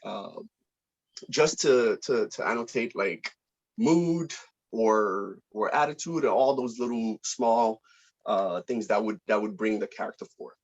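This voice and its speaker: A man talking casually and a little haltingly as he leads an informal meeting as a director, polite and organizing. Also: lowish voice. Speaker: film director leading a table read. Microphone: video call. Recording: dull, lo-fi video call audio.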